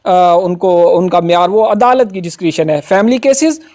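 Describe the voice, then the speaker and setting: A man's voice: high-pitched, moderate pace, a little mellow. A man with a Pakistani accent giving an informal explanatory law lecture, often adding little tags to check that listeners follow.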